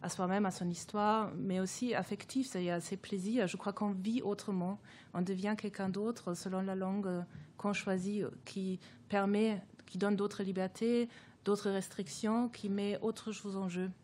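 A woman's voice: medium pitch, steady tone, measured pace, darkish tone, a little hiss or hum. A woman whose first language is German, a guest author speaking reflectively in an academic discussion, thoughtful and hesitant with many fillers and restarts.

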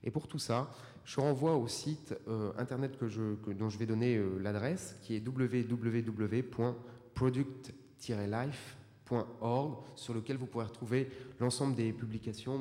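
A man gives a formal introduction of a speaker at a conference. His voice is mellow and dark, and he stumbles a little as he reads. The long reverb of a lecture hall surrounds him. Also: medium pitch, steady tone, moderate pace.

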